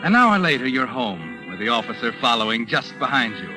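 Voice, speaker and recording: A man plays an all-knowing narrator of an old radio crime tale. He tells it to the listener in a deliberate, sardonic way, with music prominent behind him on a vintage recording. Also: medium pitch, very animated, lightly acted. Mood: knowing, ominous. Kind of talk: 1940s radio mystery narration in second person.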